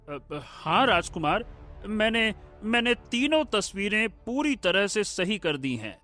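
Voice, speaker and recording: A man playing a hesitant young painter, halting and nervous, in a cartoon story. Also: high-pitched, very animated, sharp tone, soft background music, heavily acted. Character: young male painter, hesitant before royalty. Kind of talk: dramatic Hindi cartoon story acting.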